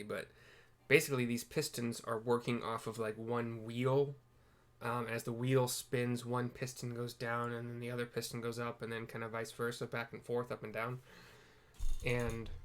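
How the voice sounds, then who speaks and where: A man's voice: medium pitch, a bit bright. A man hosting a game playthrough with casual, improvised scene descriptions, rambling and self-deprecating as he searches for words. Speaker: host of a game playthrough video.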